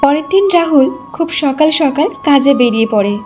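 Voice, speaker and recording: A woman's voice: sits high, fairly quick, music in the background. A woman giving scripted narration for a cartoon folk tale.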